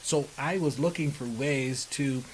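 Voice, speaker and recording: A man's voice: medium pitch, a little slow, rough recording. A middle-aged man from the Bahamas answering as an interview guest, emphatic and often checking in with a short question. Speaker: podcast guest, personal development speaker. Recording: steady background hiss.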